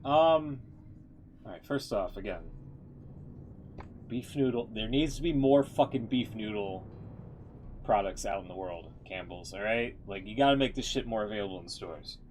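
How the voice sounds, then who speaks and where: A man's voice: lowish voice, animated, moderate pace, long pauses, a little mellow, a little hiss or hum. A man giving casual, rambling live-stream commentary as a game streamer, in an informal way. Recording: music playing behind the voice.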